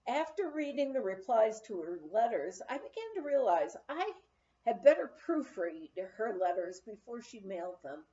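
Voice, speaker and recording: A woman reading a memoir aloud as an audiobook narrator, telling it in an expressive storytelling manner. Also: fairly high pitch, moderate pace, highs cut off. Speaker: audiobook reader.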